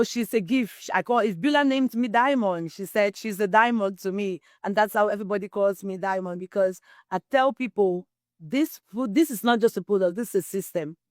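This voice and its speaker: A middle-aged woman from Cameroon tells her own story as an interview guest, earnest and full of conviction. Her voice sits at an ordinary pitch for a woman. The sound is dry, with no room echo. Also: darkish tone. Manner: earnest, testimonial, quotes herself often. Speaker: guest, wellness distributor.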